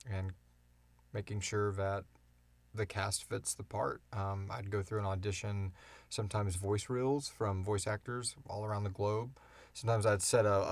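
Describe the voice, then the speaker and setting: A man with a fairly low voice hosts a casual, unscripted Q and A. He talks informally, like someone among friends, and swears freely. The sound is very dry, with no room echo. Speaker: podcast host and producer.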